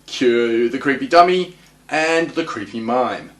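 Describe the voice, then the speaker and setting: A man delivering recap and analysis commentary as a host, with wry, clipped deadpan quips. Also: medium pitch, a little slow. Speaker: host of a TV episode analysis show. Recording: roomy, echoing voice recording.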